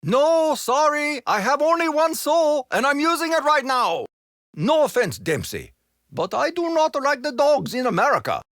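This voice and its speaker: A stiff, honor-bound Japanese imperial soldier, speaks in formal game voice lines with a Japanese accent. His pitch leaps and plunges all over, sometimes within a single sentence. The recording is close and dry, with no room around it. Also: sing-song, normal speed, fairly bright tone, no room sound, heavily acted. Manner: formal, stiff phrasing with sudden comic deflation.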